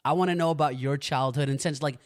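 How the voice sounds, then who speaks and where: A man's voice: on the high side, fairly even intonation, fast. A young man from the United States hosting a casual family podcast, teasing and switching between English and Hindi. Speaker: podcast host. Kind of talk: casual chat.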